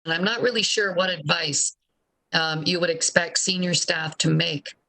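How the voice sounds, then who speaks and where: A woman's voice: on the low side, normal speed, background noise. A woman speaking off the cuff in formal remarks as a city council member.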